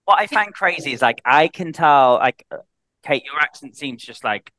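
A man's voice: a little high, animated, moderate pace, sharp tone, no room sound, poor audio. A man with a Northern English accent, a guest on a podcast, talking in a chatty, rambling way with many restarts. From Northern England. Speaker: guest, head of sales. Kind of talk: casual podcast chat.

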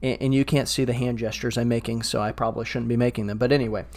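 Man, mid-pitched, earnest podcast co-host who restarts his sentences and stammers while thinking aloud. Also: steady tone, quick pace, a little mellow. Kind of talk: casual discussion podcast.

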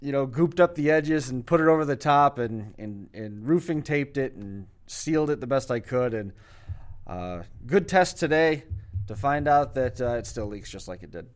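A man in the middle of his pitch range talks through a long story on a casual podcast. He rambles, restarts his sentences and piles on details. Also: animated, normal speed. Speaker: podcast co-host.

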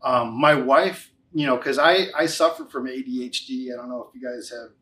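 A man's voice: medium pitch, steady tone, normal speed, pushed and bright. A man in his forties talking conversationally as an interview guest, giving a testimonial with emphatic, repeated key phrases. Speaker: interview guest, financial advisor.

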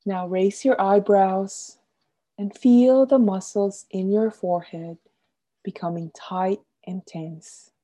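Female meditation guide, extremely soft dark tone, unhurried delivery, soothing and gentle, phrases trailing off softly. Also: mid-range voice, fairly even intonation. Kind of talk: guided relaxation meditation, scripted instruction.

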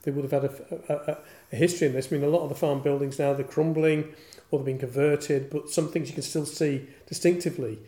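A man's voice: a little high, steady tone, fast, dark tone. A man asking conversational questions as an interviewer, recorded with a roomy, echoing sound.

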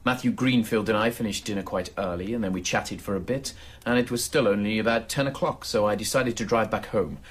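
An actor playing a mild, defensive gentleman in a radio drama, polite and reasonable as he explains himself. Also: lowish voice, a little fast, few pauses, slightly rough. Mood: casual explaining. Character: mild, defensive, well-mannered gentleman cousin.

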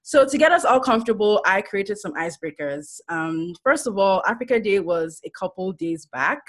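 A woman's voice: mid-range voice, animated, moderate pace, fairly bright tone. A woman in her twenties hosting a casual podcast over a video call, laughing often between lines. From Nigeria. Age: 20s. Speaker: podcast host. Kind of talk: casual group chat.

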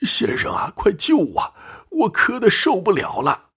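A man's voice: medium pitch, huge pitch swings, normal speed, slightly rough, voice pushed up, heavily acted. A man voicing a gullible, aggrieved elderly commoner in a storybook tale, with a pleading, hesitant manner. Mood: desperate.

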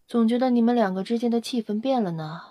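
A blunt, inquisitive vampire scientist from an audio drama, played by a woman. The voice sits on the high side, very dry and close, with a clinical, matter-of-fact curiosity. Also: fast, mellow, no echo, lightly acted. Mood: teasing, matter-of-fact. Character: nosy, clinical vampire-race scientist Lanka, coolly curious.

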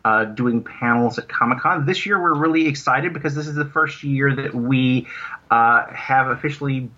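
A man chatting casually as an interview guest, speaking in a halting, rambling way and repeating words. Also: medium pitch, sharp tone, highs cut off. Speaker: guest, audio drama producer.

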